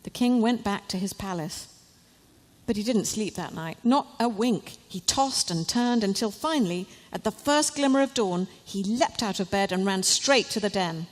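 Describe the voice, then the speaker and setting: A woman narrating a children's storybook deliberately and expressively, in short dramatic phrases. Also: mid-range voice, lively intonation. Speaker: author reading her children's book. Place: large church, live event. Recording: live reading in a large reverberant hall.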